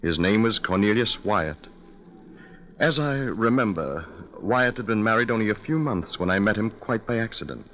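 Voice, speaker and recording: An actor playing a polite, emotional gentleman with an earnest, formal way of speaking in a 1940s radio drama. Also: fairly low pitch, normal speed, slight background noise, close to an everyday voice. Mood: somber, narrating. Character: polite, emotional gentleman passenger recounting a tragedy. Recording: dull vintage radio recording, crowd bustle.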